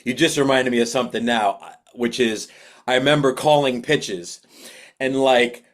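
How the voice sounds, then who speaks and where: A man's voice: mid-range voice, moderate pace. Male podcast host, casual and self-deprecating, easygoing delivery. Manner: chatty, self-deprecating, laughs easily. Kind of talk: casual interview chat.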